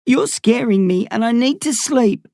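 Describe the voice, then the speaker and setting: A man voicing an exhausted, frightened thirteen-year-old boy, his voice pitched up, weary and confused. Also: unhurried, vocal fry, darkish tone, dry recording, clean studio sound, full character voice. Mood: tired scared.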